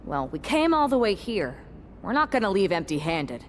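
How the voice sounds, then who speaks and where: A woman's voice: medium pitch, big pitch swings, normal speed, a little hiss or hum, lightly acted. A woman as a blunt, menacing wrestler. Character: tough, aggressive woman wrestler.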